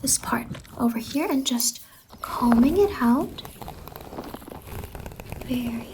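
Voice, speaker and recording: A woman playing a whimsical, reassuring salon stylist in an ASMR roleplay, speaking softly and gently on a close microphone and often slipping into whispers. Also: fairly high pitch, noisy background. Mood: soothing, attentive. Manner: gentle, reassuring, drawn-out words. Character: whimsical, reassuring female fever-dream hair salon stylist.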